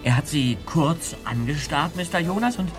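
An actor playing a lively, observant teenage boy detective in a German audio drama. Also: on the high side, moderate pace, music in the background, natural voice. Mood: defensive, explaining.

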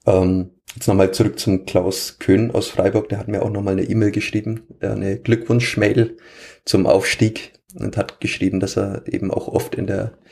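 A man's voice: lowish voice, little pitch movement, normal speed, creaky, darkish tone. A man with a Franconian accent, a stadium announcer answering interview questions in a rambling way with many fillers. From Franconia, Germany.

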